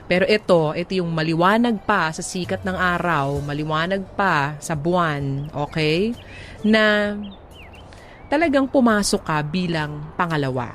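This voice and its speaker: A woman's voice: fairly low pitch, unhurried. Emphatic Filipino woman, noisy background. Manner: emphatic, conversational, mixes Tagalog and English. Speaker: radio co-host giving advice. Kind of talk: casual relationship commentary. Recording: clear background noise.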